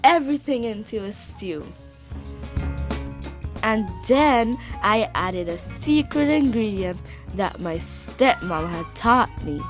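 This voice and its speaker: A young girl as a woman voices her narrates a children's story. She speaks slowly and expressively, letting each phrase breathe. Soft music sits faintly behind her. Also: mid-range voice, animated. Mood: earnest, warm. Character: young Ghanaian-Canadian girl telling her festival story.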